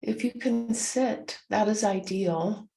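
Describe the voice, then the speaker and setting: A woman leading a guided meditation, gently instructing in short, soothing phrases with unhurried pauses. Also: mid-range voice, gravelly, a little hiss or hum, rough recording.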